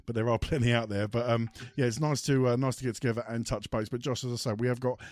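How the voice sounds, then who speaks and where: A man's voice: mid-range voice, steady tone, quick pace, a little mellow, no echo, studio quality. A man hosting a casual podcast, rambling with ums and restarts while he has a cold. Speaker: podcast host. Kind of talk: casual chat.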